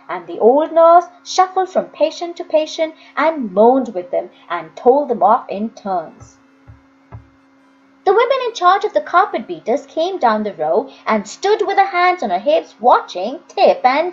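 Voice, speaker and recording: A woman narrates a story in a high, very expressive voice. Her phrasing is deliberate and dramatic, and the home recording sounds a bit dull. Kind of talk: expressive scripted audiobook reading.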